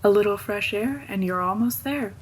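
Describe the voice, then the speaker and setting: A woman's voice: mid-range voice, moderate pace, slightly rough, lightly acted, poor audio. A woman playing a playful wife who reads out rhyming clues in a singsong way for a horror story. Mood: teasing riddle. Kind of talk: scripted horror story voice acting.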